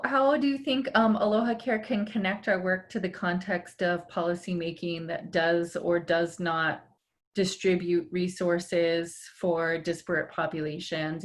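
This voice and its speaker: Woman from Hawaii, webinar moderator, lowish voice, measured delivery with many pauses, video-call sound.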